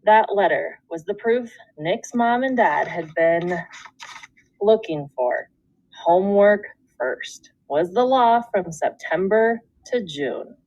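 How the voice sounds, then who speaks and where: A woman teacher reading a children's book aloud, with expressive storytelling and deliberate phrasing. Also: mid-range voice, no echo, lo-fi sound. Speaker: second grade teacher.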